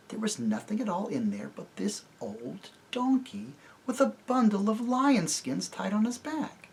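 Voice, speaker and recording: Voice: mid-range voice, creaky, a little hiss or hum, voice pushed up. A reader voicing a brave, cheeky young girl who talks eagerly. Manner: eager, pleading.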